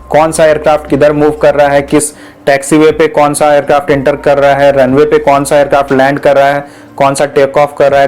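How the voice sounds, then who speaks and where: A man with a fairly high voice teaches a lesson at a steady pace. He explains patiently, lists things one by one and repeats the key points, dropping English terms into his speech. It sounds like a close-mic voice-over. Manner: mixes English terms into Hindi. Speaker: educational video host.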